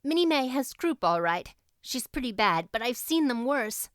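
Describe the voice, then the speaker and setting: A woman voicing a confident, talkative young girl heroine in an audiobook, brisk and earnest. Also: on the high side, very animated, no echo, clean studio sound, pitched above the performer's own voice. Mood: brisk, bossy. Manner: brisk, earnest, take-charge. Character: confident, capable, talkative eleven-year-old girl heroine.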